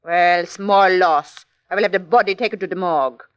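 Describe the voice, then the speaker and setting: An actor playing a callous Nazi prison officer, curt, commanding and sneering, in 1940s radio drama style. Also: male voice, sits high, moderate pace, fairly bright tone, smooth, no room sound, muffled recording. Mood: cold, brusque.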